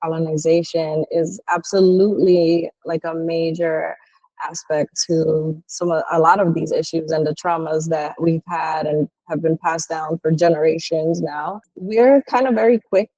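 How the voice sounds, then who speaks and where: Female podcast co-host, American accent. Mellow, relaxed tone in a dry, slightly lo-fi recording. Conversational and opinionated. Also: low-pitched, a little slow, dry recording. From New York, United States. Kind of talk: casual reflective conversation.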